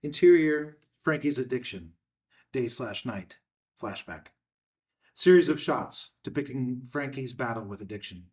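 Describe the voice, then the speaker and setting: A man's voice: medium pitch, animated, unhurried, darkish tone. Male screenplay narrator; mid-range voice, scripted stage directions, somewhat far from the mic.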